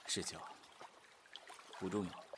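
A man playing a gentle, resigned, melancholy gentleman of ancient China in a period audio drama, speaking in a subdued, brooding way. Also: slow pace, a little hiss or hum. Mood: sad, resigned.

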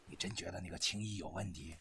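Husky, brash deputy chief, played by a man. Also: low voice, quick pace, pushed and bright, exaggerated voice. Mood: skeptical. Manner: blunt, mocking. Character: brash, greedy male deputy station chief, sneering. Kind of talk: radio drama acting.

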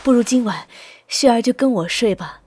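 An actress playing a doting grandmother in a Chinese period audio drama, coaxing and unhurried. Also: a little high, expressive, warm tone, breathy edge, no echo. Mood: mock hurt, coaxing. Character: doting grandmother in ancient China.

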